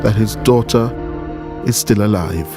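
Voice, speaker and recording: A man's voice: lowish voice, a little slow. A man with a Jamaican accent reading scripted narration as a reporter for a news documentary. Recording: music bed behind the voice. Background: background music.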